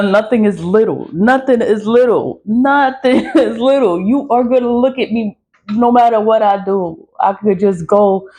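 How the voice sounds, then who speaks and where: A woman's voice: medium pitch, dark tone, poor audio. A woman in her mid-forties ranting casually to her followers, blunt and profane, laughing often between points. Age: 40s. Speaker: social media creator. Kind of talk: casual vlog rant.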